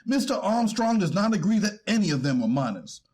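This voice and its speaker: A man playing a deferential defense attorney in a courtroom readers theater, formal and hesitant when challenged, with a husky voice. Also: sits high, big pitch swings, a little fast, darkish tone, heavily acted. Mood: earnest, pleading. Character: deferential male defense attorney pleading for his client. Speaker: actor reading a defense attorney.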